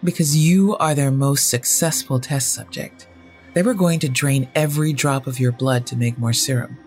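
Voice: medium pitch, normal speed, faint music behind, close to an everyday voice. A storyteller voicing a guarded, fanatically determined male inventor who explains himself in a formal, grave manner in an audio story.

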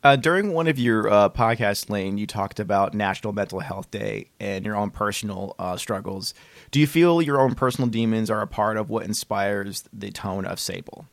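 A man's voice: lowish voice, lively intonation, normal speed, creaky, dry recording. A man co-hosting a podcast interview, chatting informally and supportively with a guest. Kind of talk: casual interview chat.

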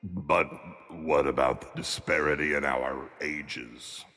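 An actor playing a pompous, cantankerous centuries-old male ghost in a radio play, blustering in a drawn-out way. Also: rumbling low, steady tone, pushed and bright, faint music behind, exaggerated voice. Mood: pondering. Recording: long cavernous reverb on voice.

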